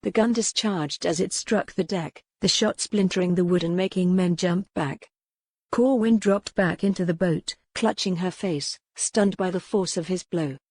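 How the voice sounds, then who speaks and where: Low-voiced woman narrating a romance novel. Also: normal speed, a little mellow, no echo. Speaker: audiobook narrator. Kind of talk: dramatic scripted narration of a historical romance novel.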